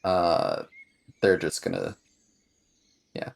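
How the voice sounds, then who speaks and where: A man playing a tabletop roleplaying game, talking casually about the story in a halting, measured way. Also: deep voice, steady tone, gravelly.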